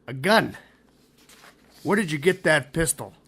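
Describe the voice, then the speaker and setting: A man playing a high-pitched, panicky small-time crook. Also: animated, measured pace, fairly bright tone, breathy edge, full character voice. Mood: defiant.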